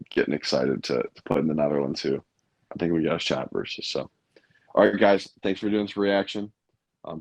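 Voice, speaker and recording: A young man with a raspy, tired voice, hosting a casual sports chat and rambling with many fillers. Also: a bass voice, darkish tone, no echo. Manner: rambling, lots of fillers and restarts. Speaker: podcast host.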